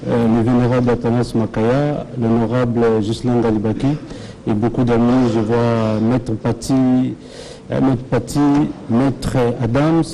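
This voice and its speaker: Mellow-voiced musician guest. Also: male voice, mid-range voice, flat delivery, a little slow, a little hiss or hum, rough recording. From Republic of the Congo. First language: Kikongo. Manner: plain, answers in short phrases with fillers. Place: TV studio set.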